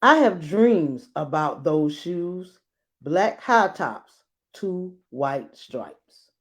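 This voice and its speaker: Slow, very animated woman reading aloud. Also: low voice. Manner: expressive, dramatic emphasis with deliberate pauses. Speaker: read-aloud host. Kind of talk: children's storybook read-aloud with brief asides.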